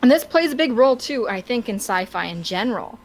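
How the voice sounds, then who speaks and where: A woman co-hosting a casual podcast, talking through her opinions in a halting, wordy way as she searches for words. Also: on the high side, lively intonation. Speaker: podcast co-host.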